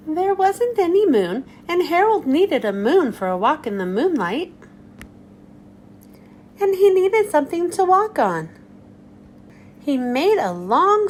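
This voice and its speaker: Slow, sing-song woman reading to kids. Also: high voice, a little hiss or hum. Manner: slow, gentle storytelling, lively rise and fall. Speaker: children's book reader. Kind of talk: reading a picture book aloud.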